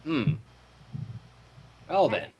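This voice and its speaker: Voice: medium pitch, very animated, extremely slow, dull audio, background noise. A theatrical, giggly host chatting with friends and reading fan fiction live, with a flair for dramatic announcements. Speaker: fanfiction reader and co-host. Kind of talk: casual group chat and live fanfic reading.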